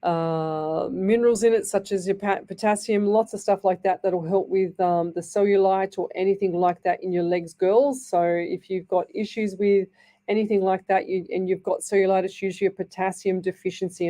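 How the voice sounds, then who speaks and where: A woman's voice: medium pitch, fairly even intonation, a little fast, dark tone. A woman with an Australian accent hosting a live radio show as a psychic medium, chatting in a rambling, reassuring way with a dry, scratchy throat. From Northern Ireland. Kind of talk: live radio chat reading messages. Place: radio studio.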